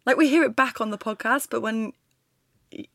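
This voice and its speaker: A woman's voice: a little high, normal speed, creaky, fairly bright tone. A woman co-hosting a podcast in casual chat, agreeable and giggly. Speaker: podcast co-host. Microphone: headphones, studio mic.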